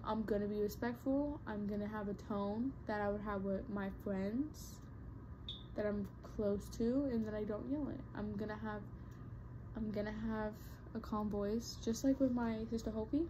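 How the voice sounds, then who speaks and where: A woman's voice: mid-range voice, fairly even intonation, normal speed, background noise. A young woman vlogger chatting casually to her viewers, rambling with lots of fillers and restarts. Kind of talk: casual talk to camera.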